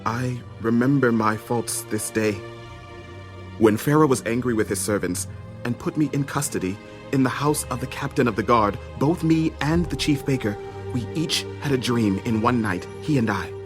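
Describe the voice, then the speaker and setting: A man's voice: medium pitch, normal speed, natural voice. Man acting a humble, contrite royal servant over music. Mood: contrite, recounting. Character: contrite chief butler of Pharaoh, humble male servant. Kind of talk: dramatized audio Bible acting. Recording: studio audio drama with music underneath.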